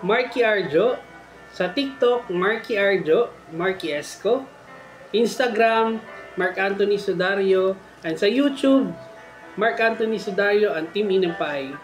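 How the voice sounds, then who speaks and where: A man's voice: high-pitched, a little slow, echoey room, low-quality recording. Man narrating scary stories over music. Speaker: storytelling host. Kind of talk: reading a listener's horror story aloud. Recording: music bed behind the voice. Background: faint music.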